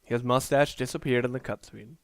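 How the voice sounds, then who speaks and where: A man's voice: mid-range voice, fairly even intonation, fairly quick, no room sound. A man giving casual let's play commentary in a rambling, self-deprecating way. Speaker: gaming video creator. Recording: loud game music behind. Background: video game music and sounds.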